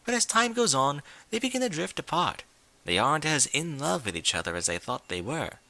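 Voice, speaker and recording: A man voicing a refined young female pony from a cartoon world in a story reading, with genteel, theatrical, gently prodding diction. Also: on the high side, very animated, brisk, creaky, dry recording, pitched above the performer's own voice, full character voice. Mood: coy, storytelling.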